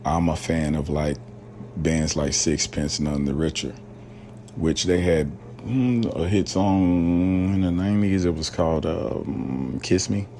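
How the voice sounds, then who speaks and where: A man's voice: a bass voice, slow pace, warm tone, slight background noise. A man from rural Alabama hosting a casual solo podcast, talking loosely with lots of fillers and slang. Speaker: podcast host.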